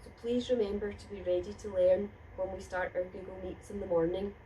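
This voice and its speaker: A woman teacher giving pupils gentle reminders in a school assembly. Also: medium pitch, fairly even intonation, brisk. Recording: distant mic, faint background noise.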